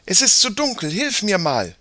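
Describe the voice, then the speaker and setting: A man voicing a lively young girl in a children's audiobook, eager, questioning and insistent. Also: sits high, normal speed, no echo, studio quality, voice pushed up, full character voice. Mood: strained, urging.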